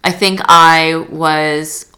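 A woman's voice: low voice, flat delivery, very slow, pushed and bright. A young woman born in Poland, talking casually as a podcast guest, full of "like" and restarts. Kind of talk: casual interview chat.

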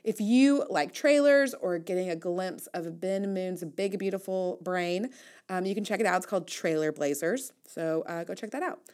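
A woman's voice: fairly low pitch, moderate pace, a little mellow. An American woman in her thirties hosting a solo podcast in a casual, confiding way, with wry, playful and emphatic asides. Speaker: podcast host and newsletter writer.

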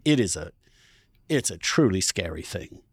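Animated male podcast host, very dry sound. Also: low-pitched, moderate pace, slightly rough, no room sound. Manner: animated, emphatic, confessional. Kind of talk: candid, conversational interview talk.

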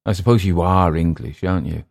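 A man's voice: low voice, a little fast, gravelly, darkish tone. A man voicing a world-weary, domineering settler in an audiobook story, speaking with emphatic, teasing scorn. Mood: scornful. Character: domineering, mocking older male jungle settler, world-weary. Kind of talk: audiobook character dialogue.

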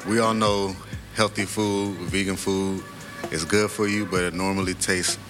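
A man's voice: deep voice, little pitch movement, unhurried. A man from Florida talking casually and confidently as an interview guest, plainspoken and a bit boastful. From Florida, United States. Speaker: guest, sea moss vendor. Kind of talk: casual sales pitch and chat. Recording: music playing behind the voice. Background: music.

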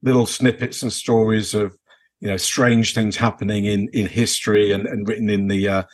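A British man co-hosting a podcast in casual, unscripted chat, with a halting, rambling way of building his sentences. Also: on the low side, little pitch movement. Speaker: podcast co-host.

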